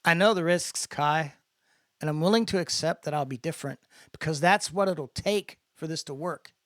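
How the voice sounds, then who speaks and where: A man's voice: a little high, normal speed, no room sound, studio quality, lightly acted. A man voicing an eager, idealistic younger brother in a dramatic audiobook, explaining things in an earnest, casual way. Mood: resolute, earnest. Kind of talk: dramatic audiobook character dialogue.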